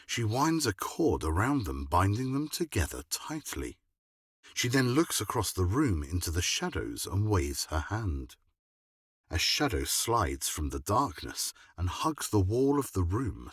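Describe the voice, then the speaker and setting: Male narrator for audio drama: deep, rough-edged voice, animated phrasing, close dry recording. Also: unhurried, no echo. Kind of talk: scripted radio drama narration.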